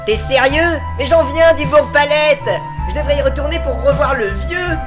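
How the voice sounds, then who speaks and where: A man's voice: very high-pitched, normal speed. A man voicing a sarcastic, casual young trainer in a cartoon parody. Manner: casual, mocking, slangy. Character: cocky, sarcastic young male monster trainer. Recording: music behind.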